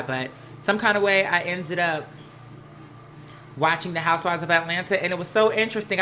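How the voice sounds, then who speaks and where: American streamer w a bright forceful voice, sassy gossipy rambling chat, slangy, bit of noise behind. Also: mid-range voice. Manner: sassy, slangy, peppered with "girl" and "honey"; animated, rambling, jumps between asides. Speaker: live stream host. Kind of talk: casual live chat to viewers, gossipy commentary.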